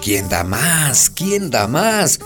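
Gossipy barrio storyteller: wry, colloquial radio drama narration, lively intonation over music. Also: male voice, fairly high pitch, very slow, heavily acted. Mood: wry, sincere.

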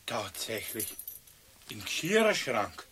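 A man's voice: lowish voice, big pitch swings, slow. An actor with a Bavarian accent playing a flustered, irritable craftsman husband in a 1970s radio play, blustering and exclaiming. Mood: exasperated. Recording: hissy old radio recording.

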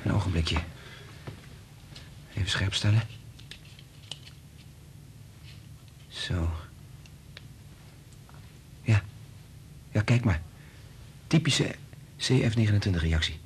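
A man playing a kind, patient scientist in a radio drama, speaking in a gentle, reassuring, informal way. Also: fairly low pitch, slow, long pauses, background noise. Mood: focused.

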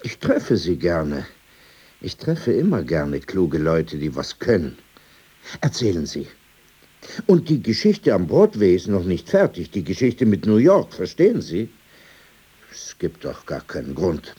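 Husky, sardonic old man. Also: deep voice, wildly swooping pitch, unhurried, warm tone, heavily acted. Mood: disdainful. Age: older. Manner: sardonic, digressive, dismissive. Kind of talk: radio drama dialogue.

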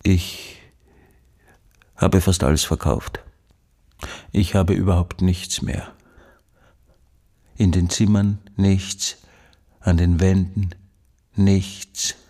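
An embittered, obsessive recluse in a radio drama monologue. His voice is low and husky, often breathy, and he broods slowly over insistent, halting phrases that circle back on themselves. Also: little pitch movement, mellow, heavily acted. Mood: bleak, emptied out.

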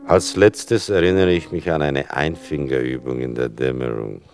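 An actor playing a son who narrates memories of his mother in a radio drama, measured, reflective and wry. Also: extremely low pitch, fairly even intonation, soft background music. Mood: reflective, tender. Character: son soberly recalling his mother's persecution.

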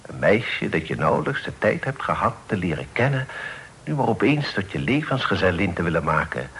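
An actor playing a stern but fair middle-aged father in a period radio drama, speaking formally and in measured, admonishing phrases. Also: on the low side, a bit bright. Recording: noisy old radio play recording.

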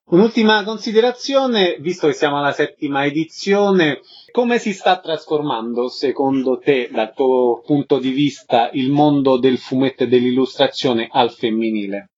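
A man's voice: mid-range voice, normal speed, darkish tone, slightly rough. A man hosting a radio programme, presenting and putting questions to guests in an interview style. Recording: slightly dull lo-fi radio audio.

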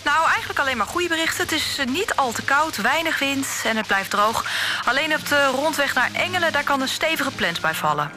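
Chirpy radio weather girl, high voice, music going behind her. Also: expressive, brisk. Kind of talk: weather bulletin in a comic radio play.

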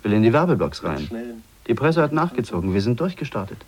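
Actor as eager, animated radio executive. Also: male voice, medium pitch, a little fast, close to an everyday voice. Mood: triumphant. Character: eager, triumphant male radio station executive. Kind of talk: 1980s German radio drama acting.

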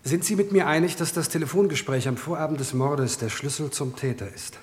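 In a reverberant hall of a vintage radio play, a male actor plays a relentless prosecutor. His slightly high voice drives through formal, accusing questions at a brisk clip, every word sharply articulated. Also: darkish tone, close to an everyday voice. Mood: formal, probing. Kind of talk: old German radio crime drama acting.

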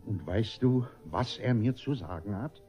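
An actor playing a vain, gullible, blustering father in a radio comedy, excitable and self-important, often repeating his words. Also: fairly low pitch, unhurried. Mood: solemnly proud. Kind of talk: German radio play comedy acting. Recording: dull radio recording with music.

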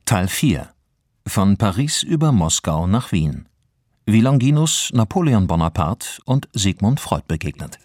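Low, solemn serial announcer. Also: male voice, big pitch swings, unhurried, darkish tone, dry recording, clean studio sound. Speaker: series announcer. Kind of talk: scripted dramatic narration.

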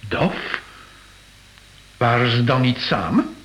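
An actor playing an effusive gentleman connoisseur in a radio play, savouring his words and exclaiming. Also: mid-range voice, expressive, takes it slowly, poor audio. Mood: astonished.

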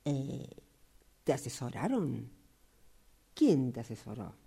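A woman's voice: rumbling low, very animated, takes it slowly, gravelly, warm tone, husky, heavily acted. A woman playing a fortune-teller with an Argentine accent in a radio drama, soothing yet firm and easily exasperated, reacting emphatically. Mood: offended.

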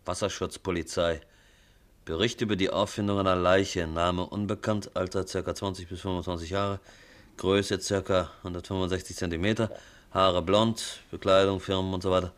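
Actor as deep-voiced, flippant newspaper reporter. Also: male voice, little pitch movement, normal speed, lightly acted. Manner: nonchalant, wry. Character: flippant, easily bored male newspaper reporter. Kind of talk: 1950s German radio crime drama acting.

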